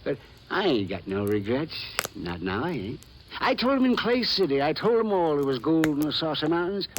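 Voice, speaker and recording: An old, rustic gold prospector in a vintage radio western, on a noisy recording. His voice sits fairly high and swings widely in pitch. He drawls in a folksy way, excitable and mistrustful, repeating himself. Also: moderate pace. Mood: excited, proud. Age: older.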